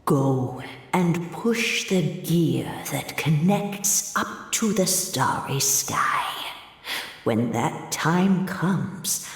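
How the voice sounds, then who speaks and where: A woman's voice: low voice, expressive, very slow, exaggerated voice. A woman voicing an ancient, serene and solemn goddess of prophecy in a video game, speaking like an oracle. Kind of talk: English game voice acting. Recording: long cavernous reverb.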